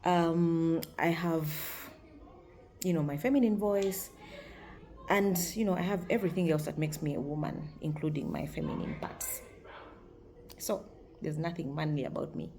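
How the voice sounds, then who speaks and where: A woman in her forties speaks to camera in a deep, low, gravelly voice with a mellow tone. Her talk is frank and upbeat, and she laughs easily. A faint hum of background noise sits under the voice. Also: normal speed, pauses often. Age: 40s. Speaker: vlogger.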